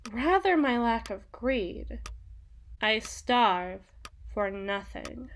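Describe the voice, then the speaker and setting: A woman playing a sadistic, teasing female demon in an ASMR roleplay monologue, with theatrical, taunting and deliberate phrasing. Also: medium pitch, slight background noise, lightly acted. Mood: smug, menacing.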